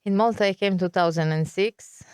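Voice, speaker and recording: A woman's voice: fairly low pitch, normal speed, a little mellow, clean tone. A middle-aged woman from Serbia with a Serbian accent, a guest in an interview, talking hesitantly and searching for words. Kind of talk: interview conversation.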